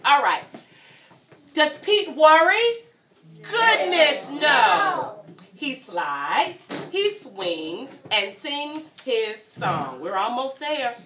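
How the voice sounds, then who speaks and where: A woman reading a story aloud to young children in an animated, teacherly way, prompting them to join in and breaking into sung refrains. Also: sits high, extremely slow, a little hiss or hum. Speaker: guest story-time reader for young children. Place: indoor room at a childcare centre. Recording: echoey room, distant mic, children around.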